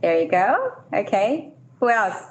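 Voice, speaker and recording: A woman's voice: medium pitch, big pitch swings, a little slow, muffled recording. A woman from China and Malaysia giving an informal business presentation to a meeting. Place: meeting room, hybrid event. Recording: echoey room, faint noise.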